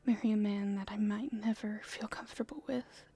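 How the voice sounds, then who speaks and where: A woman playing an earnest, hopeful young princess in a soft, halting, breathy whisper for an ASMR roleplay. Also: medium pitch, fairly even intonation. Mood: tender, wistful. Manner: halting, soft-spoken, thinking aloud.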